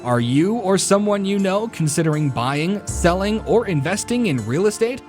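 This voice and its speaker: Male announcer, scripted show credits, animated intonation, slightly high pitch, music behind. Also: moderate pace.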